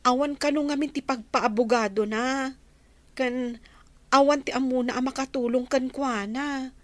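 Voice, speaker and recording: Woman as an earnest, high-voiced waitress co-worker. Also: fairly even intonation, unhurried, no room sound. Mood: worried, confiding. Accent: Filipino, Ilocano. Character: concerned, inquisitive female restaurant co-worker. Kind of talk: Ilocano audio drama acting.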